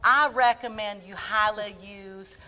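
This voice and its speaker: A woman's voice: medium pitch, fairly even intonation, a little slow, pushed and bright, a little hiss or hum. A woman playing a folksy, chatty Midwestern housewife who hosts a comedic live cooking show, addressing folks directly. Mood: folksy, instructive.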